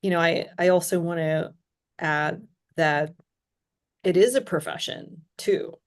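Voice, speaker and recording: Low-voiced woman hosting a reflective chat. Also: fairly even intonation, unhurried. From Hong Kong. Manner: reflective, many fillers like "you know" and "right?". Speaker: podcast host. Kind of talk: conversational interview.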